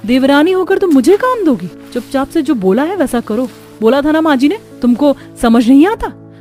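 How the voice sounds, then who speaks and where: A woman's voice: high-pitched, sing-song, normal speed, darkish tone, faint music behind. A woman voicing a bossy, spiteful elder sister-in-law in a cartoon story, haughty and scolding. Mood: bossy, angry. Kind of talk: Hindi cartoon story dialogue.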